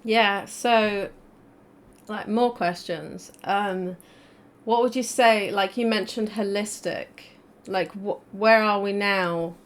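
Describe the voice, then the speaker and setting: A woman's voice: medium pitch, fairly even intonation, takes it slowly. Woman hosting a live video call, friendly and chatty, says "like" a lot. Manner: friendly, chatty, with many "like" fillers. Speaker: host. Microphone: video call mic.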